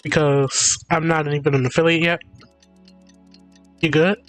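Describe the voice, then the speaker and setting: A man's voice: on the high side, little pitch movement, measured pace, a bit bright. A man livestreaming a video game, chatting casually and commenting on it. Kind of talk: casual gaming stream chat. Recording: faint game music behind.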